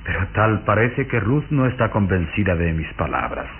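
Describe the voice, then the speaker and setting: A muffled old radio recording carries a sinister vampire count played by a man. His voice is very low, and he speaks with deliberate, courtly politeness and smooth insinuation. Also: animated, slight background noise, natural voice. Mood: suave, courteous. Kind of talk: old radio drama acting.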